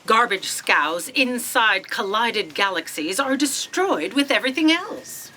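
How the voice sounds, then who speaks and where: A woman's voice: a little high, sing-song, a little slow, bright, forceful tone, slight background noise, full character voice. A woman playing an imperious, impatient, cutting office boss in a comedic sci-fi audio drama. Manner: bossy, cutting, commanding.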